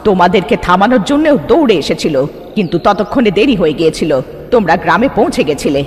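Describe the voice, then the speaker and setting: A man's voice: high-pitched, animated, fast, slight background noise. A man narrating a scripted cartoon story in a dramatic storytelling manner. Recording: long reverb, music underneath.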